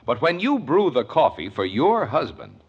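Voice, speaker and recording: A man's voice: medium pitch, wildly swooping pitch, moderate pace, breathy edge, dull audio. A man reading a commercial as a 1950s radio announcer.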